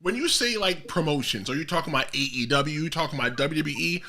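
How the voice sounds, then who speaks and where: A man with a Jamaican American and New York accent hosting a casual interview, energetic and teasing, pushing his guest to speak up. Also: a little high, fast talker. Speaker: podcast host.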